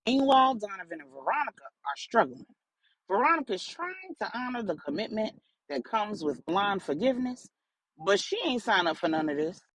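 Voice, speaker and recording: A woman narrating a story dramatically, in deliberate short phrases with pauses between them. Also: medium pitch, very animated, fairly bright tone, breathy edge, dry recording, low-quality recording. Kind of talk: dramatic bedtime-story narration for grown-ups.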